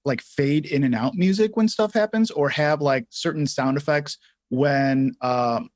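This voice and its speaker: A man's voice: on the high side, measured pace, no echo. A man co-hosting a tutorial livestream, explaining features and answering questions in a casual, conversational way.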